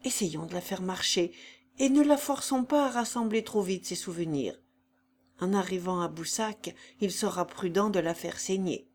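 A woman voicing a composed, pious gentleman who speaks in a measured, earnest way. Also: lowish voice, a little hiss or hum, natural voice. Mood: grave.

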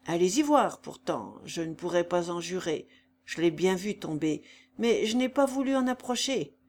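A woman's voice: on the low side, normal speed. A woman doing a callous male vagabond. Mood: indifferent. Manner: flat, atrociously indifferent tone.

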